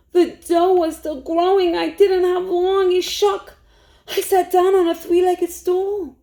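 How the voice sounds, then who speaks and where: A woman storytime teacher reading a rhyming picture book aloud to young children in an expressive way. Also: extremely high pitch. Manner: expressive, hushed suspense, asks listeners questions.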